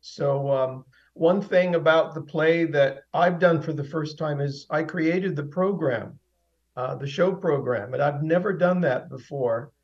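A man stage actor speaking in a relaxed, hesitant way with many fillers. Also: a little high, measured pace. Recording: dull lo-fi sound.

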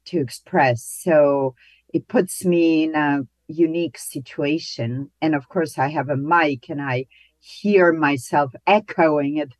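A woman stage actor talking about her role, animated, pausing often. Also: sits low, no echo. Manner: animated, with laughs and pauses. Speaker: stage actress.

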